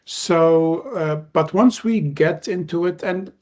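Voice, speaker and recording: A man from Lebanon whose first language is Arabic, hosting a podcast in relaxed conversation. He speaks in measured phrases with frequent "uh" pauses. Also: on the high side, highs cut off. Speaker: podcast host.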